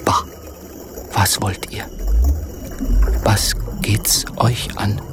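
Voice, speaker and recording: An actor playing a tormented, brooding murderer in a radio drama, speaking haltingly and often dropping into muttered whispers. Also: male voice, on the low side, little pitch movement, music in the background. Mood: frantic, paranoid. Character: tormented, brooding man turned murderer of his lover. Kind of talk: literary radio drama acting.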